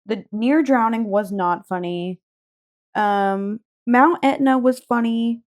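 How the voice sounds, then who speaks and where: Casting: woman with a fairly high voice, effusive podcast co-host, informal and conversational. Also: slow. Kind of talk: casual book chat.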